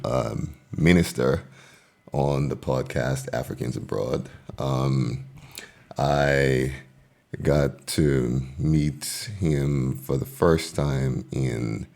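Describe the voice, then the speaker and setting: A man hosting a casual podcast interview, speaking haltingly with many fillers and restarts. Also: rumbling low, gravelly, darkish tone. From Liberia. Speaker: podcast host.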